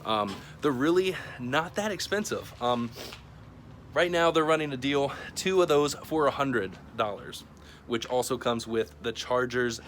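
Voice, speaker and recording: A man's voice: mid-range voice, expressive, measured pace, fairly bright tone. An American man talking casually to camera as a YouTube host, in an informal, conversational way. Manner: informal, conversational, chatty phrasing. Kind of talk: casual product review and giveaway talk to camera. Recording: clearly noisy ambient background.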